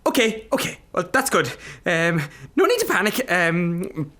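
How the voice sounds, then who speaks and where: A man playing a bumbling, nervous GP, awkwardly over-reassuring, in a dark comedy radio play. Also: high voice, big pitch swings, measured pace, heavily acted. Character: flustered, bumbling, nervous Irish GP.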